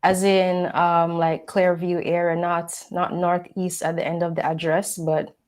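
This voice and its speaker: A woman's voice: fairly low pitch, flat delivery, unhurried, a little mellow. A woman co-hosting a casual real estate chat, friendly and playful, reading notes with care. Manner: friendly, playful, reads disclaimers carefully. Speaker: realtor co-host.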